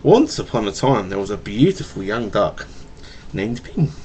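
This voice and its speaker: A man with a slight stutter reading a picture book to his young child in a playful, animated way, with casual asides, recorded on a home webcam. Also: lowish voice, normal speed, a little hiss or hum. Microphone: webcam mic.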